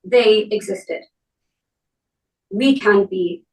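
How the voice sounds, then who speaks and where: A woman speaking at a memorial, reading and talking in measured, deliberate phrases with pauses. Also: mid-range voice, a little mellow. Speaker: speaker giving a eulogy for their parent. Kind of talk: memorial speech and poem reading. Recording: roomy echo, distant mic.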